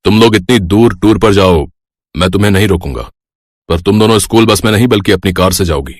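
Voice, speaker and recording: Man playing a firm, low-voiced wealthy father. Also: brisk, dry recording, clean studio sound. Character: rich, protective middle-aged father, firm but caring. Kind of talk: moral story character acting.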